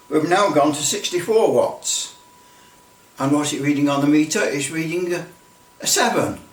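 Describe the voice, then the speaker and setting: A man giving an informal step-by-step demonstration, explaining as he goes and often repeating himself. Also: on the high side. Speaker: presenter of a hobby demonstration. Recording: roomy echo, distant microphone.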